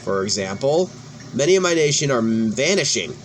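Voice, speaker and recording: A man's voice: medium pitch, very animated, fairly quick, slight background noise. A man hosting a let's play, reading game dialogue aloud with casual commentary and stumbling over words now and then. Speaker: host of a let's play channel. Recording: music playing behind the voice.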